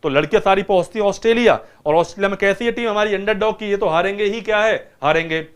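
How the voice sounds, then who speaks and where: A fairly high-voiced man teaching vocabulary in a lively, talkative way. He keeps throwing rhetorical questions at his students. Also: fast, smooth. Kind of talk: vocabulary lesson retelling a film.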